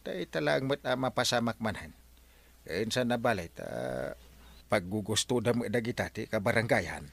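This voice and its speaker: A man's voice: medium pitch, lively intonation, normal speed, vocal fry, a bit bright. A man playing a bumbling middle-aged village captain in a comedy radio drama, exclaiming and easily flustered. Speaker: barangay captain.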